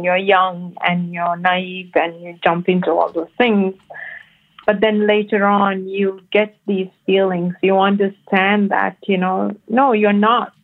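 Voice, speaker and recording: A woman from Sri Lanka calling in, speaking hesitantly with many fillers. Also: mid-range voice. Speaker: caller. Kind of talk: phone-in testimony. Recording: phone line.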